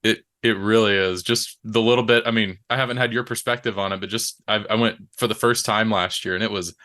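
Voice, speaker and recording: A man's voice: lowish voice, steady tone, fairly quick, pushed and bright. A man hosting a casual conversational interview, asking questions in an encouraging, affirming way. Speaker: interview host.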